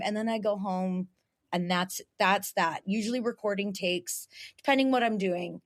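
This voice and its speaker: Raspy young woman, chatty guest. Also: medium pitch. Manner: chatty, laughs often, restarts. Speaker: guest voice actor. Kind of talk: casual podcast chat.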